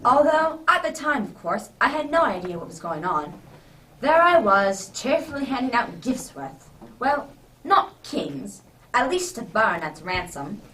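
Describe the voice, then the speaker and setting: A woman playing a genial English gentleman doctor who acts as Santa Claus in an amateur radio drama. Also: a little high, sing-song, unhurried, exaggerated voice. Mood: amused recounting. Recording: distant microphone, background noise.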